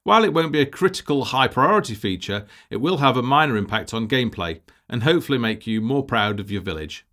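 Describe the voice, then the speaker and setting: A man narrating a scripted presentation as a project lead, in a measured, formal, businesslike way. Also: mid-range voice, animated, fairly bright tone.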